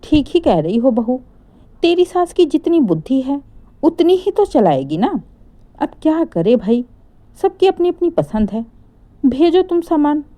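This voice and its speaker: Woman acting a taunting, animated village aunt. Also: sits high, unhurried, pitched above the performer's own voice. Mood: sarcastic. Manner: mocking, scolding, exclamatory. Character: sharp-tongued taunting older village aunt, neighbour.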